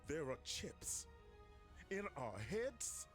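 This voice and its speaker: A man's voice: fairly high pitch, sing-song, extremely slow, faint music behind, heavily acted. A man playing a dazed, timid, dim henchman in a video game, hesitant and bewildered. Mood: puzzled.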